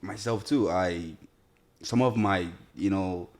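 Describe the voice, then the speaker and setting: A man hosts a podcast in a relaxed, easygoing way. He chats casually and keeps nodding along with short, agreeable replies. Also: sits low, takes it slowly. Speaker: podcast host. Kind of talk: casual chat.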